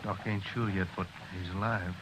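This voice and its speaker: A man's voice: low-pitched, fairly even intonation, normal speed, natural voice. Man playing folksy frontier jailer, noisy recording. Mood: matter-of-fact. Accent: American. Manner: folksy, laconic. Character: gruff frontier jailer, tough and plainspoken. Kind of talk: 1950s radio western acting. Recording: noisy vintage radio recording.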